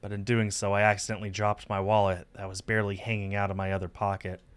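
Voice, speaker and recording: A man reading a visual novel aloud as expressive first-person narration on a stream. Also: on the low side, quick pace, clear, resonant tone.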